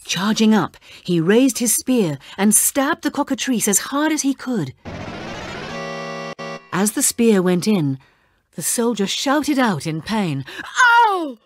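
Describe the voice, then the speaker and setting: A woman reads a children's story aloud as narrator. Her delivery is slow and lively in pitch, with a husky touch, recorded close and dry over soft music. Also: no echo. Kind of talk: expressive children's read-along narration.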